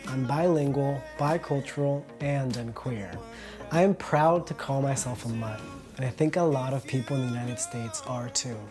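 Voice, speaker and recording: A passionate filmmaker talks about their film in a heartfelt documentary voice-over. The voice sits at a medium pitch, with soft music playing underneath.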